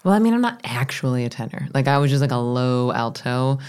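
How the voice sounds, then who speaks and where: A woman in her thirties from Florida, a meditation teacher with a low voice, telling her story in an animated, chatty way. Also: brisk, clear, resonant tone. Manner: animated, chatty, self-deprecating. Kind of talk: casual interview storytelling.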